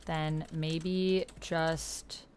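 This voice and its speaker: A woman's voice: sits low, flat delivery, very slow, darkish tone, a little hiss or hum. A woman hosting a livestream, chatting informally, thinking aloud and reading on-screen text. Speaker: livestream host and player.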